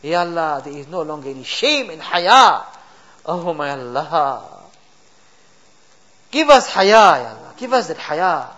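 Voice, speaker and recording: A man's voice: fairly high pitch, very animated, measured pace, bright, forceful tone, dull audio. A man leading an emotional supplication in a mosque, pleading and rising to impassioned cries.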